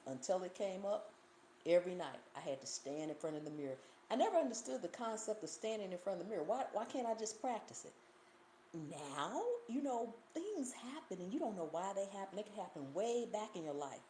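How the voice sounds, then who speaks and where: Woman, Southern US accent, casual livestream chat, lively swooping pitch, roomy echo, faint hiss. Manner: animated storytelling, reads viewer comments, laughs often. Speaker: cooking channel host.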